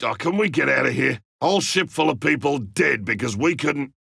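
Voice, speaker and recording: An actor voicing a gruff, wry, battle-hardened lone monster hunter in a video game, with a blunt way of calling out. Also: male voice, medium pitch, lively intonation, moderate pace, sharp tone, dry recording, clean studio sound, exaggerated voice. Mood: grim, weary. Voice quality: gravelly, raspy. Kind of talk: video game combat callouts.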